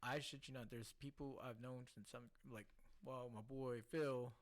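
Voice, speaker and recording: A man from the Philippines, a podcast guest, telling stories from his life in a lively, casual way and often checking in with "right?". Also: medium pitch, moderate pace. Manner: animated storyteller, keeps checking in with "right?". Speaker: podcast guest, casino host. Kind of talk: casual storytelling interview.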